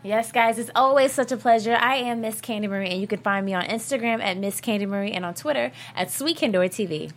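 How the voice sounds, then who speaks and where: A woman's voice: a little high, quick pace, hardly any pauses, slight background noise. A woman co-hosting a casual after-show chat in a playful manner. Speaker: co-host.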